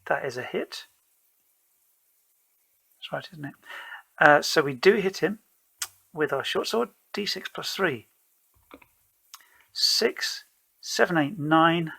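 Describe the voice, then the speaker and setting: A man's voice: a little high, lively intonation, slow, vocal fry, pauses often, bright, forceful tone, husky. A man narrating his own solo tabletop role-playing game in a casual, rambling way, self-deprecating and playfully theatrical.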